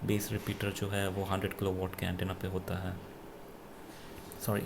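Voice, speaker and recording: A man with an Indian accent giving an unscripted gadget review in a halting, self-correcting way, switching between two languages. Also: low voice, monotone, creaky, noisy background. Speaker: product reviewer. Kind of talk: unscripted gadget review, mixing Hindi with English.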